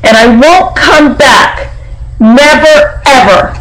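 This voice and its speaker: A woman's voice: high-pitched, slow pace, fairly bright tone, pitched above the performer's own voice, poor audio. A woman voicing a small, stubborn, plaintive young female piglet in a storybook, insistent and pleading. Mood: hurt, defiant. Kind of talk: storybook character reading.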